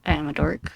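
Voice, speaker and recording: A young woman chatting through a game session in a slow, unhurried drawl, her voice a little scratchy from just waking up. She sits somewhat low in pitch and sounds dry and close. She rambles, apologizes and pokes fun at herself. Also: gravelly, no room sound. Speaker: gaming channel host. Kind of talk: casual gameplay commentary.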